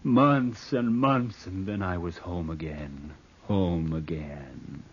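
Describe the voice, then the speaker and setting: A man's voice: low-pitched, takes it slowly, husky, exaggerated voice. An actor playing a scheming, bitter nephew who broods as he narrates in an old-time radio drama. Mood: haunted, obsessive. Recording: dull vintage radio recording.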